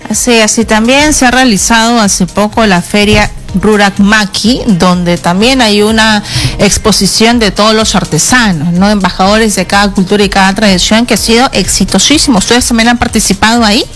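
A woman's voice: mid-range voice, moderate pace, fairly bright tone, faint music behind. A woman hosting a radio interview, asking her questions in a fluent, upbeat way. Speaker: radio host.

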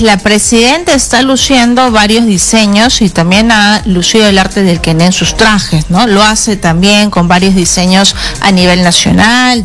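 A woman's voice: medium pitch, fairly bright tone. A woman hosting a radio interview, asking her questions in a fluent, upbeat way. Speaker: radio host.

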